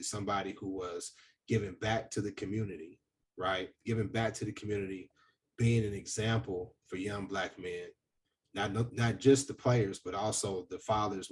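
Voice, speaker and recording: A man with a big booming voice, hosting a casual podcast discussion in an earnest, reflective way with frequent fillers. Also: distant mic, slight background noise. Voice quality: deep, booming voice. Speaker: podcast host.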